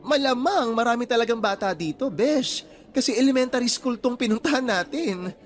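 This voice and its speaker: Casting: affectionate, campy young Filipino best friend, gushing radio drama delivery. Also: male voice, extremely high pitch, expressive, a little fast. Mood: chatty.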